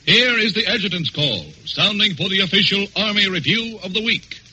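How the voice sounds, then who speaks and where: A man's voice: high-pitched, animated, moderate pace, very noisy. An American man making formal announcements in 1940s radio style on a vintage broadcast.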